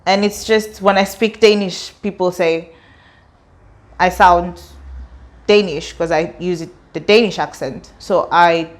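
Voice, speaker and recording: A woman's voice: fairly low pitch, measured pace. A young woman from Kenya with a British-sounding accent, chatting casually in an interview and laughing often as she talks. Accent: British-sounding English. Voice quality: laughs often while talking, chatty and expressive. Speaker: interviewee, student and artist.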